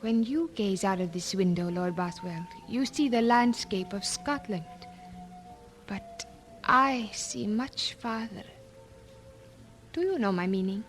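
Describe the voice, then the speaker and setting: A woman's voice: mid-range voice, slow, soft background music, lightly acted. An actress playing a proud, ambitious young queen in a historical audio drama, speaking in a formal, regal manner. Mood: guarded ambition.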